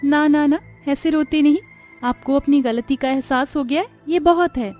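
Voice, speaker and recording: A woman voicing a kind young sister-in-law in a cartoon story, gentle, polite and reassuring. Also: sits high, normal speed, soft background music, voice pushed up, natural voice. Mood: soothing.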